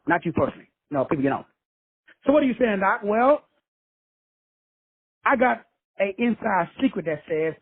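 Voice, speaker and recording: A man's voice: high voice, breathy edge, no room sound. A man from Texas hosting a call-in show, preaching profane motivation with swagger and slang. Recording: tinny phone line, dry.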